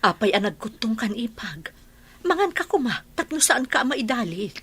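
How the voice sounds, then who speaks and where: A Filipino woman acting a caring, earnest female relative in a radio drama, with a husky, breathy voice and a Filipino accent. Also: fairly high pitch, big pitch swings, normal speed, fairly bright tone, full character voice. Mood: concerned.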